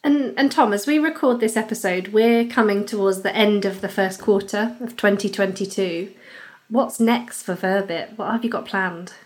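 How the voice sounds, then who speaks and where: A woman's voice: medium pitch, moderate pace. A woman co-hosting an interview podcast, asking questions in a conversational way with small restarts. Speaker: podcast co-host.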